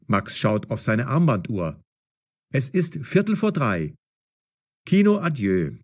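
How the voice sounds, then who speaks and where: A man narrating a scripted story for language learners in a deliberate, expressive, clearly enunciated way. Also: medium pitch.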